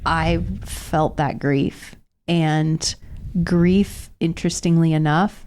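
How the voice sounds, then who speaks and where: A woman's voice: deep voice, steady tone, darkish tone. A woman in her thirties co-hosting a podcast, sounding a little nasal from a cold, speaking earnestly and reflectively. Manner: earnest, reflective, slow in places. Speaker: podcast co-host. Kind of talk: casual podcast conversation.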